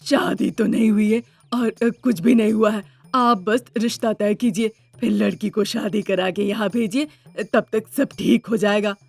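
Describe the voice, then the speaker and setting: Husky, scheming cartoon mother-in-law. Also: mid-range voice, steady tone, normal speed, darkish tone, soft background music. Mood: eager. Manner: scolding, conniving. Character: greedy, scheming, cruel middle-aged mother-in-law. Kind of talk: Hindi cartoon story dubbing.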